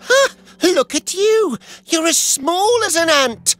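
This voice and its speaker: A woman voicing a small, anxious, friendly boy train in a children's cartoon, earnest and excitable. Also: very high-pitched, takes it slowly, heavily acted. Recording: music under the voice.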